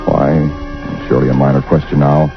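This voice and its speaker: Doomed narrator, very deep voice, slow dread-filled confession, lo-fi old radio sound, loud music behind. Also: male voice, flat delivery. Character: haunted man awaiting his doom alone. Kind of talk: 1940s radio drama acting.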